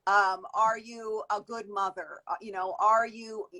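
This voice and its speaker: A woman's voice: mid-range voice, steady tone, measured pace, pushed and bright. A woman in her fifties, a guest in an interview, giving long reflective answers with frequent restarts. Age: 50s. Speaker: guest, beauty industry worker.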